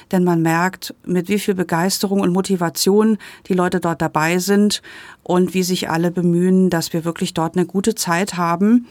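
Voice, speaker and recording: A woman's voice: fairly low pitch, no room sound. A woman hosting an audio magazine, addressing listeners and handing over to a co-host.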